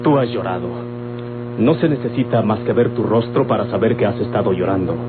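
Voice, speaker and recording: A man's voice: on the low side, brisk, natural voice. An actor playing a suspicious, jealous man in an old Mexican radio drama, insistent and questioning, with a Mexican accent. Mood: suspicious, concerned. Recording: tinny, very noisy, humming old radio recording.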